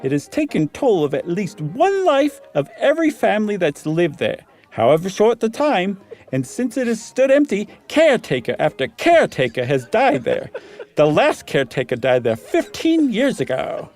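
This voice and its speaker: A man voicing a blandly teasing gentleman storyteller in a theatrical, mocking manner for a dramatic reading. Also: sits high, wildly swooping pitch, normal speed, faint music behind, pitched above the performer's own voice. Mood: spooky relish.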